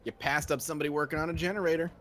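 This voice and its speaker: A man's voice: on the high side, fairly even intonation, very fast, a bit bright. A man chatting and teasing casually during a video game, easily breaking into giggles as he talks. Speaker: gamer on a group voice chat.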